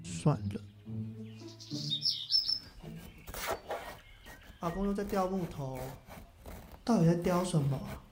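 A man's voice: sits high, moderate pace, noisy background, natural voice. A young man with a Taiwanese accent playing an impatient college student grandson in an everyday radio drama, speaking casually and expressively. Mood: bored, puzzled. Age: young adult. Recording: music bed behind the voice. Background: music.

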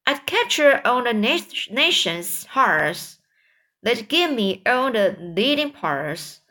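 A woman's voice: on the high side, big pitch swings, slow pace, sharp tone, full character voice. A woman voicing a vain, greedy aunt in a storybook read-aloud, admiring herself. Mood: dreamy, boastful. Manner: self-admiring.

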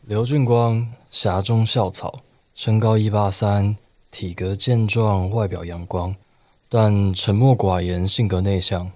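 Low-voiced, dreamy Taiwanese schoolboy played by a man. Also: fairly even intonation, slow pace, darkish tone. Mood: teasing, incredulous. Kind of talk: Mandarin radio play acting and first-person narration.

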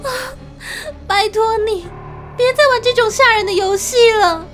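A woman's voice: very high-pitched, measured pace, fairly bright tone, faint music behind. A woman playing a frantic, frightened member of a household in a radio drama. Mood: frantic, pleading.

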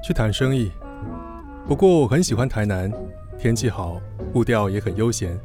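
A man playing a calm, easygoing, helpful businessman in an audio drama, unhurried and drily understated. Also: lowish voice, music in the background, close to an everyday voice. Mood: relaxed.

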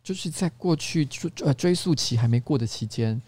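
A man's voice: medium pitch, expressive, moderate pace, darkish tone, dry recording. A man with a Taiwanese Mandarin accent hosts a podcast in casual chat, telling stories in a joking, rambling way. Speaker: podcast host.

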